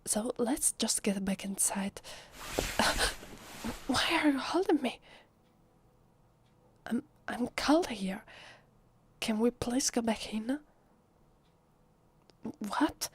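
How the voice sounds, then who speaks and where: A woman with an Italian accent playing a shy, lonely vampire posing as a human in an ASMR roleplay, speaking softly and hesitantly in a breathy half-whisper. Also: on the high side, slow pace, long pauses, slight background noise. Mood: nervous, coaxing. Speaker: voice actress. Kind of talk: ASMR roleplay, soft intimate speech to the listener.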